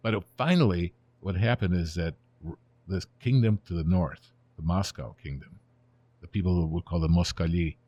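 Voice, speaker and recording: This is a man in his seventies with a very deep voice, whose first language is Ukrainian. He tells history in a relaxed, storytelling way, and his voice often trails off softly at the ends of phrases. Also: normal speed, husky, highs cut off. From born in Germany, raised in the United States. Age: 70s. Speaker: guest. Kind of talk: conversational interview, explaining history.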